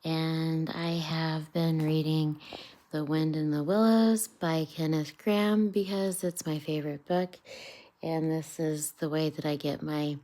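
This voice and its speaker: A woman with a low, mellow voice reads a bedtime storybook as a parent on a livestream. She takes her time and adds casual asides. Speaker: parent reading aloud on a livestream.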